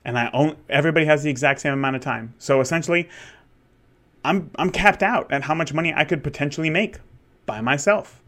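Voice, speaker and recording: A man's voice: a little high, a little fast, a bit bright. Candid thirty-year-old man rambling. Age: 30s. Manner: candid and rambling, with frequent restarts and fillers. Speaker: wedding photographer and podcast host. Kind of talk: casual solo monologue.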